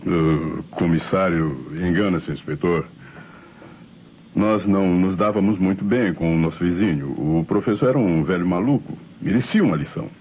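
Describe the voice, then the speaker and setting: A man's voice: low-pitched, normal speed, natural voice. An actor playing a defensive married man giving hesitant, emphatic testimony in old radio drama style. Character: defensive married man, neighbour who saw a flying saucer. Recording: muffled, faintly noisy old radio recording.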